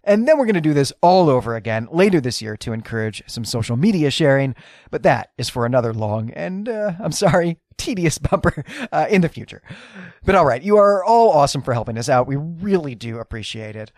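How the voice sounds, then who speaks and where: A man's voice: on the high side, animated, a little fast, darkish tone, dry recording, studio quality. A man talking casually as a podcast co-host, chatty and lightly self-deprecating.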